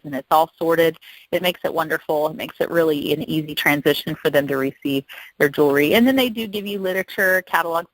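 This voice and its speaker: A woman's voice: deep voice, a bit bright, no room sound, low-quality recording. A woman giving an informal, upbeat sales presentation on a conference call, talking in a chatty, testimonial way as a guest consultant. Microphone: telephone conference call.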